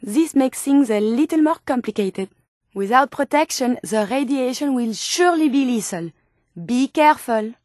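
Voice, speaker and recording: A high, closely recorded female voice with a French accent, an earnest video game medical robot who is learning to be human. She speaks in formal, carefully chosen words, with open curiosity and tender feeling. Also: moderate pace, no room sound, clean studio sound. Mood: concerned, earnest. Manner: precise, formal, expressive diction. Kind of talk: video game character dialogue.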